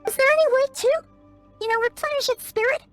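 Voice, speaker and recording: A woman voicing a tiny, excitable, childlike fairy companion in a video game, with a squeaky voice and naive, exclamatory manner. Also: fairly quick, soft background music. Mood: amazed, curious. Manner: exclamatory, naive, refers to self in third person. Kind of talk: animated video game voice acting.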